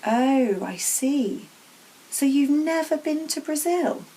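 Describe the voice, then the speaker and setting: A woman voicing a quiet, curious, friendly young boy in a children's storybook. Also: sits high, expressive, takes it slowly, pitched above the performer's own voice, heavily acted, rough recording. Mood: curious, sympathetic.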